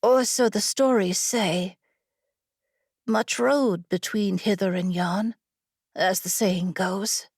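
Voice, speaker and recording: A bookish young woman on her travels, as a female narrator voices her, speaks in an eager, wordy way. She is musing and a little self-conscious, a storybook heroine recorded close and dry with unhurried delivery. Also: medium pitch, a little mellow, husky, dry recording.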